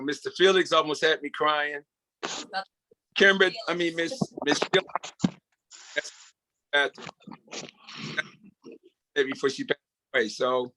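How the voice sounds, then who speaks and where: Male co-leader, high and forceful, rambling casual pep talk on a video call. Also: poor audio. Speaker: project co-leader. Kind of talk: casual motivational group talk.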